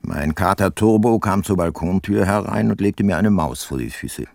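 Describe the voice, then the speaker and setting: A middle-aged private detective for a radio play. His low voice is gravelly and very close and dry in sound. He is laconic and ironic, never in a hurry. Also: normal speed, a little mellow, no room sound. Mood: wry, reflective. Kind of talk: radio drama narration and dialogue.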